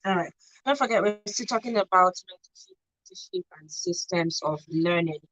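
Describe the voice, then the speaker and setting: A woman's voice: on the low side, animated, moderate pace, a bit bright, no echo, dull audio. A woman with a Nigerian accent presenting a live radio talk show as its host.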